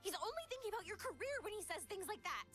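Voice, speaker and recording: A woman's voice: very high voice, sing-song, quick pace, fairly bright tone, soft background music, heavily acted. A woman voicing a refined, affectionate young psychologist in a visual novel game, with genteel, careful phrasing. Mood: troubled, earnest.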